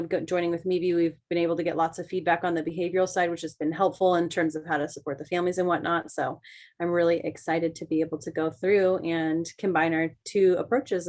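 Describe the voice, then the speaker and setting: A woman's voice: on the low side, little pitch movement, a little fast, a little mellow. A woman introducing herself as an occupational therapy assistant in a casual way, with fillers like um and and so. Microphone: video call.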